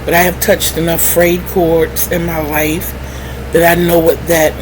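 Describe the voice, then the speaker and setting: Slow-talking woman, low voice, noisy recording. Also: little pitch movement. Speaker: vlogger. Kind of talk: candid personal storytelling to viewers. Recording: clearly noisy background hum.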